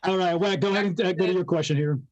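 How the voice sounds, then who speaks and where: A man's voice: high voice, steady tone, rapid-fire, highs cut off, over a noisy crowd. A man from Venezuela, a native Spanish speaker, co-hosting a casual webcast. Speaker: webcast co-host. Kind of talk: casual chat.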